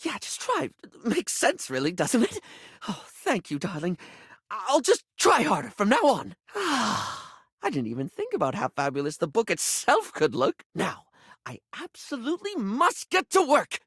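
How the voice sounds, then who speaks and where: A man's voice: sits high, huge pitch swings, a little slow, fairly bright tone, slightly rough, dry recording, studio quality, exaggerated voice. A male actor voicing a flamboyant, giddy, chatty bathroom beauty character in a video game, theatrical and gushing, with campy pet names.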